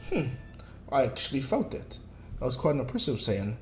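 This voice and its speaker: A man voicing a vengeful, haughty alien tyrant in a slow, pompous, threatening way, retold anime style. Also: medium pitch, huge pitch swings, darkish tone. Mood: cold threat. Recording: echoey room, music behind.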